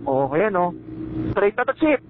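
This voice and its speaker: A man's voice: high-pitched, very animated, unhurried. A man with a Filipino accent calling out and joking casually over a helmet intercom, exclamatory, repetitive and full of swearing. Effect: helmet intercom radio. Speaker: motorcycle rider in a riding vlog. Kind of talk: casual banter and riding call-outs over intercom. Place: outdoors on a moving motorcycle. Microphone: helmet intercom microphone. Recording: tinny, noisy helmet intercom link. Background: engine and road noise.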